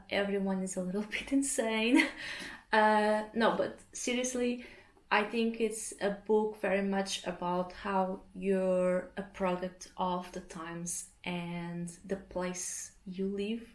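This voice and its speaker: A relaxed, chatty woman whose first language is Portuguese talks to her viewers in an easy vlog style, with a mid-range voice. She speaks from home, and the room adds a noticeable echo. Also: medium pitch. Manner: chatty, relaxed, often laughs mid-sentence. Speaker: art vlogger. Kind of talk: casual chat to camera. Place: home interior. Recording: roomy echo.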